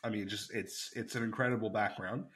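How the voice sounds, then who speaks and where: A man's voice: on the low side, fairly even intonation, a little fast, a bit bright. Chatty male podcast host. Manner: chatty, enthusiastic storyteller with many fillers. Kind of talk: casual chat.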